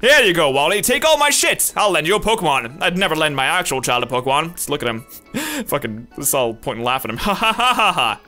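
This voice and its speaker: A man voicing a gruff, scornful father who is a gym leader, drawling insults. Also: on the high side, very animated, brisk, bright, forceful tone, soft background music, pitched below the performer's own voice. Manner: contemptuous, profane, drawling.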